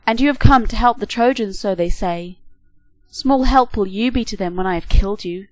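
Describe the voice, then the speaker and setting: A woman reading the part of a boastful male Greek warrior who taunts his foe in a loud voice, in a storybook reading. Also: mid-range voice, moderate pace, no echo, natural voice, rough recording. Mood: taunting.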